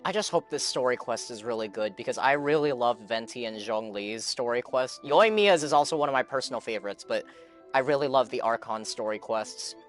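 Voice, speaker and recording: A man's voice: medium pitch, lively intonation, brisk. A young man chatting casually as a game streamer, rambling earnestly with a fan's enthusiasm and repeating his phrases. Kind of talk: casual live-stream chat, game reaction. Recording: game music in the background.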